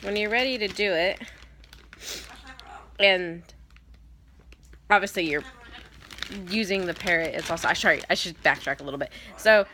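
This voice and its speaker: A woman's voice: lowish voice, normal speed, long pauses, bright, forceful tone, slight background noise. Stuffy-nosed woman chatting through a demo. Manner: chatty, rambling, with many ums and self-corrections. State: stuffed up with a cold. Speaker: parrot owner giving a training demo. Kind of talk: casual how-to demonstration.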